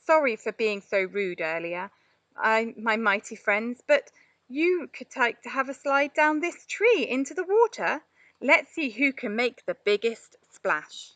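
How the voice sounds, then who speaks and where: A woman's voice: fairly high pitch, lively intonation, unhurried, fairly bright tone, no echo. A woman voicing a young female giraffe, playful and a bit stubborn, in a children's storybook reading. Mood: apologetic, cheerful. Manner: bold, announcing. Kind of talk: children's storybook read aloud.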